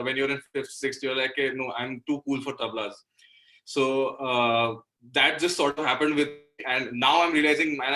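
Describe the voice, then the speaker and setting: Young indian musician guy, bright voice, casual self-deprecating interview chat. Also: mid-range voice, low-quality recording. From Delhi, India. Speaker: interview guest, singer-songwriter and guitarist.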